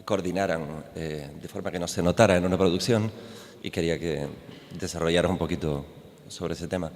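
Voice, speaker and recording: A man's voice: deep voice, fairly even intonation, a little fast, darkish tone. A man in the audience asking a hesitant, filler-heavy question. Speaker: audience member. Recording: distant mic in echoey hall.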